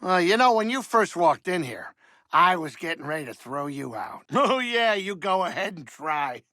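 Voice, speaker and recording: An actor playing a cranky but soft-hearted older alien saloon keeper in a video game, gravelly, wisecracking and folksy. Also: male voice, sits high, normal speed, no room sound, studio quality, exaggerated voice. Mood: wry banter. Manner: grumbling, wisecracking, excitable, folksy. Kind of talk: video game character dialogue.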